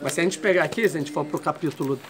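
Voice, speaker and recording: Casual male teacher, high voice, keeps checking in with the class. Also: racing, fairly bright tone. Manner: explains step by step, checks in with "tá?". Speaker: Torah teacher. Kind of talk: classroom lecture.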